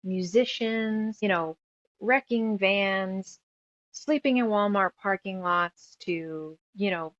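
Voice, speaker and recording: A woman's voice: medium pitch, slow pace, fairly bright tone, dry recording, muffled recording. A woman in her thirties telling her story casually as an interview guest, rambling and emphatic, piling up lists as she goes. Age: 30s. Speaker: guest author and musician.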